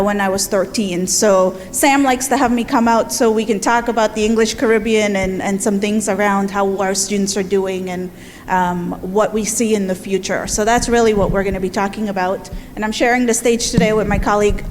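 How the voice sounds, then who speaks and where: A woman's voice: medium pitch, fairly even intonation, moderate pace. A woman from Guyana introducing herself in a friendly, conversational way at a conference. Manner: friendly, conversational, many fillers. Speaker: solutions consultant presenter. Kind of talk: conference presentation. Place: large conference hall. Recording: hall reverb with faint noise.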